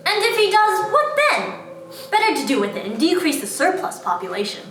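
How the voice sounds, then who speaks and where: A woman's voice: very high voice, huge pitch swings, brisk, fairly bright tone, heavily acted. A woman playing a stern, ominous Christmas spirit in an amateur dramatic reading. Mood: mocking, sharp. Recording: very echoey room, faint noise.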